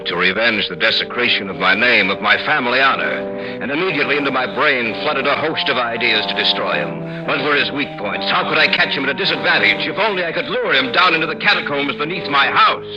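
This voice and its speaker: A muffled old radio recording with music carries a man acting as a proud nobleman who broods on revenge. His medium-pitched voice is formal and theatrical, moving through wide, dramatic inflections. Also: natural voice. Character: proud, brooding, vengeful nobleman nursing insults.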